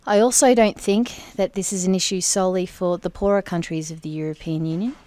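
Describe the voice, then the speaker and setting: A woman interpreting for an interview guest in the first person, speaking in measured, deliberate phrases. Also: lowish voice, steady tone, warm tone, no echo. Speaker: sign language interpreter voicing the guest.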